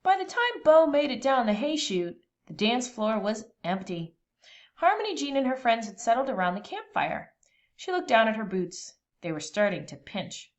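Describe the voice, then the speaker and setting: A woman reading a children's storybook aloud expressively, with dramatic, deliberate pauses between phrases. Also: a little high, sing-song, lo-fi sound.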